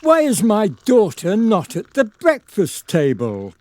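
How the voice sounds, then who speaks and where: Imperious noblewoman, commanding, anxious, slow, hugely animated intonation, radio drama. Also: mid-range voice, no echo, faint music behind, full character voice. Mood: impatient, alarmed. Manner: commanding, theatrical. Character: imperious, anxious noblewoman, mother of a grown daughter.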